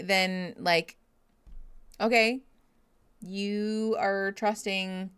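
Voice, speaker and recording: A woman's voice: mid-range voice, clear, resonant tone. A woman talking her way through a reality show live, chatty and opinionated. Her voice sits in a middle range, and she fills her talk with "like". It sounds like a home stream. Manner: chatty, opinionated, with many likes. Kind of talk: casual live reaction chat. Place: home room, at a standing desk.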